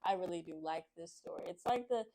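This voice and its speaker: A woman hosting a children's read-aloud, speaking in an expressive, excitable, friendly way to young viewers. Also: fairly low pitch, a little fast, a little hiss or hum. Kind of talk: storybook narration and friendly chat to young viewers.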